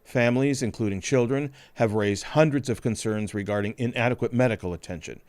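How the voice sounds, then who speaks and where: A male podcast host reading a scripted news report in a grave, measured way. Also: mid-range voice, darkish tone, no room sound.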